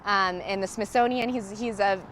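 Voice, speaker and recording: A woman's voice: mid-range voice, steady tone, normal speed, fairly bright tone, slight background noise. A woman speaking as a spokesperson in an interview, explaining things in a conversational way. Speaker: airport spokesperson.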